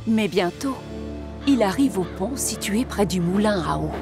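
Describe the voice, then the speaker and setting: A woman narrates a children's cartoon in a gentle, storybook way, her voice lively and full of rises and falls. Music plays clearly underneath. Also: mid-range voice, a little slow.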